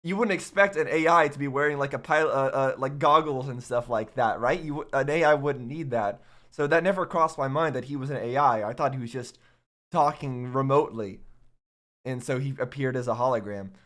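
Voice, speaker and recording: A man giving casual reaction commentary in a halting, musing way. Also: mid-range voice, a bit bright. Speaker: reaction video host.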